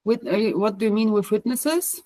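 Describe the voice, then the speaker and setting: A woman with a Polish accent, speaking English as a third language in a coaching group call, pausing often with fillers and restarts. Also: mid-range voice, a loud crowd. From Poland. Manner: hesitant, many fillers and restarts. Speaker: coaching course participant. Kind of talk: group video call discussion.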